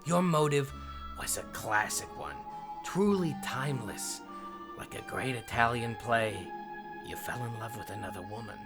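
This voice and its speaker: Folksy bumbling male sleuth, played by a man, middle-aged, gravelly and animated, secretly sharp, comedy fandub over music. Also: mid-range voice, normal speed, close to an everyday voice. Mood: knowing. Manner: folksy, rambling, feigned bumbling. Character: deceptively humble, folksy, shrewd middle-aged male detective. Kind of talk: comic fandub acting.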